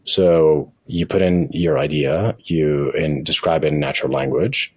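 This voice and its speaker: A man with a husky voice speaking as a guest in an interview, explaining things in a halting way with frequent restarts. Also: very deep voice, a little mellow, no echo. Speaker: guest, tech company founder and CEO. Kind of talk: interview conversation with software demo.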